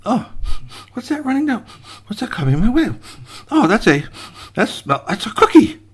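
Guy doing a hungry raccoon in a kids story, amazed muttering, swoopy pitch. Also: sing-song, normal speed, husky, full character voice. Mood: astonished. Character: hungry, astonished male raccoon sniffing out a snack.

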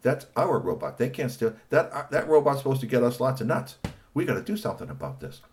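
A man's voice: mid-range voice, sing-song, quick pace, pitched above the performer's own voice, natural voice. A man voicing a worried male ninja squirrel who urges his group to act. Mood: urgent.

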